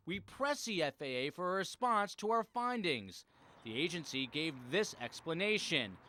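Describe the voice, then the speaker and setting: High-pitched, animated male news reporter. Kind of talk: scripted investigative news narration.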